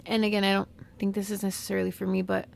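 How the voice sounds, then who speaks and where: Gravelly-voiced woman, slow musing monologue. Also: mid-range voice, little pitch movement. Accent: Southern California. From Mexican-American. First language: Spanish. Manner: slow, reflective, with pauses and fillers. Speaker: podcast host, therapist. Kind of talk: unscripted personal monologue.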